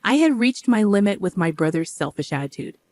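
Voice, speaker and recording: A woman's voice: mid-range voice, sing-song, brisk, dark tone, dry recording, studio quality. A woman narrating a first-person family story in an aggrieved, resolute tone.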